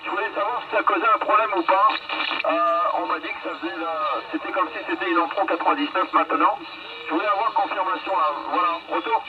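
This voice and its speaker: Dull noisy radio signal, man in a car, casual chat, high voice, repeated phrases. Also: brisk. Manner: chatty, repeats phrases for confirmation. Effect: radio transmission. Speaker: mobile amateur radio operator. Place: moving car on the road. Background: loud radio and vehicle noise.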